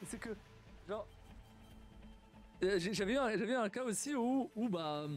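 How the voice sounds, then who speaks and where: High-voiced man in a halting stream chat. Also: expressive, faint music behind. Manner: halting, repeats words often, laughs a lot. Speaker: streamer reacting to stories. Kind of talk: casual live-stream reaction.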